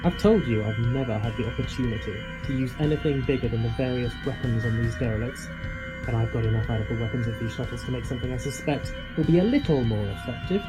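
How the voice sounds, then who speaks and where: A man's voice: mid-range voice, quick pace, close to an everyday voice, low-quality recording. An actor playing a reckless, obsessive, flippant monster hunter in an audio drama, wordy and wry in his theatrical delivery. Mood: gleefully sly. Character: reckless, obsessive male eccentric hunting a monster. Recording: music behind the voice.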